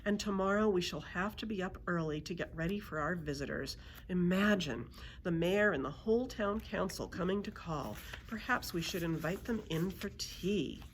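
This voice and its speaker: A woman voicing a kindly, hopeful grandmother in a children's storybook, gentle and reassuring but firm. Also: fairly low pitch, normal speed, a little hiss or hum, natural voice. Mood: calm.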